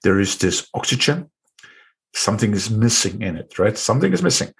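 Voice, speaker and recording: A man's voice: fairly low pitch, very animated, a little fast, husky. A man with an Austrian accent hosting an interview, musing aloud and repeating phrases for emphasis. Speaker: host. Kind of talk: reflective interview talk.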